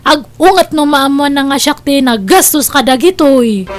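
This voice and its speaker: A woman's voice: high voice, animated, moderate pace. A woman playing an evasive, free-spending wife who stalls when questioned, with an Ilocano accent, in a radio-style comedy drama. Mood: defensive. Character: evasive married woman who spends on credit, hides her debts.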